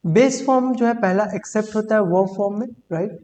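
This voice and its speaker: Woman, vocabulary teacher, mellow voice, slow deliberate English examples, long reverb with faint hum. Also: medium pitch. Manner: reads English examples slowly and deliberately, explains in Hindi; repeats words and says "ठीक है" often. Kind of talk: Hindi-English vocabulary lesson.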